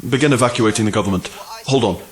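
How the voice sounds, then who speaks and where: A man's voice: on the low side, a crowd in the background, close to an everyday voice. A man playing a harried prime minister. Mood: brisk. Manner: brisk, issuing orders. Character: harried male prime minister taking calls. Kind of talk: audio drama acting.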